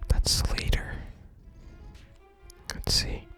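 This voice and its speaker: A person whispering ASMR commentary while playing a video game and reading its text aloud, in a breathy, unvoiced whisper. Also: extremely slow, sharp tone, soft background music.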